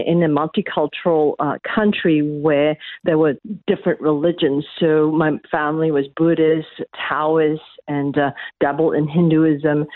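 A woman's voice: deep voice, dry recording. A middle-aged woman from Malaysia, an academic guest answering interview questions, hesitant with many restarts. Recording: tinny phone line.

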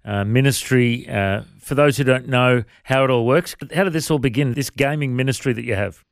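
An Australian man with a mid-pitched voice works as an interview host. He speaks in a chatty interviewing style, recorded very dry with no room sound. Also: normal speed, vocal fry, dry recording. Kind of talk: interview chat.

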